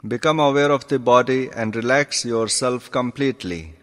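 A man teaching yoga through guided meditation, calm and deliberate, giving instructions in short measured phrases. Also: mid-range voice. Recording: long reverberant hall sound.

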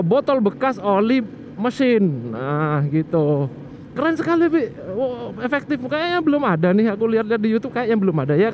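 A man casually explaining a scooter maintenance trick outdoors, rambling and often restarting or correcting himself. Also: high-pitched. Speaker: motovlogger. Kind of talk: casual how-to vlog chat. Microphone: action camera. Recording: muffled action-cam audio with street noise.

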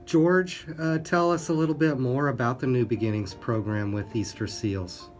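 A man's voice: medium pitch, fairly even intonation, normal speed, soft background music. A man co-hosting a radio talk show in a conversational style, summing up and asking guests questions. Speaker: radio co-host. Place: small radio studio. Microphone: studio microphone.

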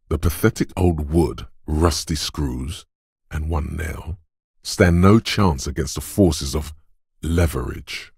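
A man playing a gruff, domineering inner voice of brute strength in a video game, blunt and deliberate in delivery. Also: darkish tone, no room sound, studio quality, heavily acted. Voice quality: gravelly, husky bass. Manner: blunt, domineering gym-coach goading mixed with slow literary narration. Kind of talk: video game voice acting, scripted narration.

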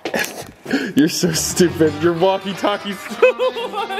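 A young man, the vlogger's brother, chatting nervously and wisecracking in a dark bunker. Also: sits high, huge pitch swings, slow, loud music behind the voice. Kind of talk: casual chat.

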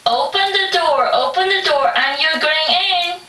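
A woman's voice: very high-pitched, bright, forceful tone, full character voice. A woman voicing a boastful, hungry tiger posing as a grand aunt in a theatrical puppet show. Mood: wheedling. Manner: theatrical, slow and wheedling. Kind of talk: puppet show stage acting. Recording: echoey hall from far off, faint noise.

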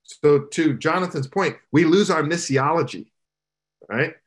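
A middle-aged man, a pastor, speaking emphatically in an animated panel discussion over a video call from his home. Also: fairly high pitch, a little slow. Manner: emphatic preaching cadence, gestures broadly. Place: home room, indoors. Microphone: video call, webcam from below.

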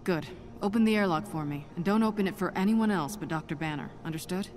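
An actress playing a seasoned spy in a video game, cool, blunt and commanding, with terse delivery. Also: female voice, on the low side, fast talker, darkish tone, soft background music, close to an everyday voice. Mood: firm, blunt. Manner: terse, no-nonsense. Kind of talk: video game cutscene acting.

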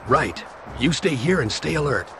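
An actor playing a terse, authoritative special agent in clipped video game cutscene dialogue. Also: male voice, a little high, lively intonation, normal speed, noisy background. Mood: terse, controlled. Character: terse, commanding male special agent leading stranded soldiers.

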